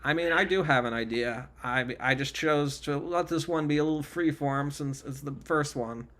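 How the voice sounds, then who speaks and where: A man's voice: fairly high pitch, normal speed, fairly bright tone. A man hosting a casual podcast over a video call, rambling and joking at his own expense. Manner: rambling, self-mocking, restarts. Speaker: podcast host.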